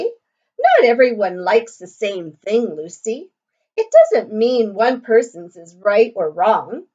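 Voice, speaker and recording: A woman reading the part of a kindly, reassuring grandfather in a picture book, gently and with measured words, on slightly dull audio. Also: a little high, heavily acted. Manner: gentle, measured, morally instructive.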